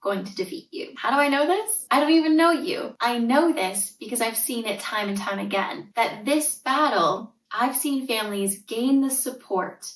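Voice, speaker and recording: A woman's voice: on the high side, lively intonation, moderate pace, hardly any pauses, recorded from a distance. Earnest Canadian woman, roomy echo. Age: 30s. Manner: earnest, reassuring, emphatic. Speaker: podcast host, tutor and coach. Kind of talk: scripted solo podcast intro.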